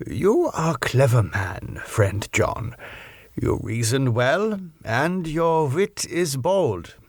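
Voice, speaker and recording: Man playing a slow, probing old professor. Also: mid-range voice, very animated, no echo, studio quality, full character voice. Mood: earnest, chiding. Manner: rhetorical questioning, halting non-native English phrasing. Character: older male foreign professor, earnest, probing, philosophical mentor. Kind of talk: audiobook character acting.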